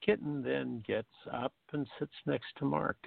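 Male narrator, creaky mid-pitched voice, scripted stage directions, muffled dry recording. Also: fairly even intonation, a little slow, no echo. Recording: dull lo-fi audio.

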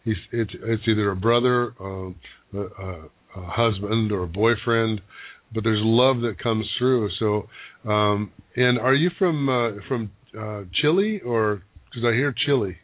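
A man working as a psychic medium who gives halting, searching readings to callers on a live call-in radio show, with many restarts. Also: fairly low pitch, dry recording. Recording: dry, narrow phone-like broadcast sound.

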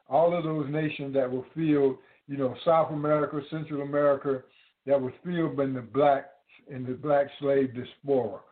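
A man preaching to his listeners, halting often with repeated words and fillers. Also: fairly high pitch. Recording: tinny phone-like audio.

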